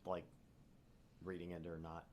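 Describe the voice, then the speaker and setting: A man's voice: low-pitched, completely flat delivery, a little slow, a little mellow, a little hiss or hum. A man co-hosting a let's play, switching between casual chat and narrating the story aloud. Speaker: co-host and narrator of a visual novel let's play.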